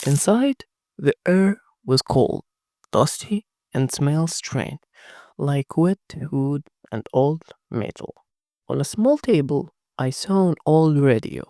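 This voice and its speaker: A narrator telling a first-person horror story in a hushed, dramatic scripted reading. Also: mid-range voice, expressive, takes it slowly, no echo, clean studio sound.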